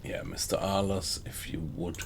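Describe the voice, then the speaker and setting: A man with a German accent, chatting with viewers while he plays a submarine game, in a slow, hesitant way. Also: sits low, little pitch movement, background noise. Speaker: game streamer. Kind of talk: casual live-stream commentary. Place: home room at night.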